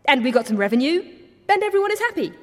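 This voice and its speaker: A woman's voice: high voice, big pitch swings, very fast, long reverb tail. An actress playing a sheepish, chirpy woman who designed a gadget and owns up to it.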